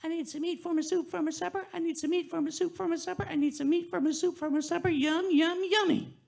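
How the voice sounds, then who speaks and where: A shrill, frantic old woman chants about her supper in a high, quick singsong, rising to piercing shrieks, as a male storyteller puts her on. The voice sits far above a man's usual range and races along. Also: steady tone, creaky, a little mellow.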